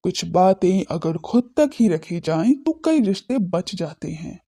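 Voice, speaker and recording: Woman voicing a dark, low, serious young man. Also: sing-song, measured pace, exaggerated voice. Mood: guarded. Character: serious, brooding young men in a romance serial. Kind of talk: audio drama character dialogue.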